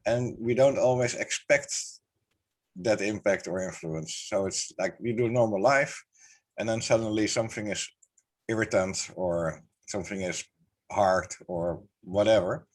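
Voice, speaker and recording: Man, low, slightly rough throat, explains patiently in casual video chat. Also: measured pace. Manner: explanatory, checks in with partner. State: irritated throat, voice deeper than usual. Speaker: co-host, healer. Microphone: video call.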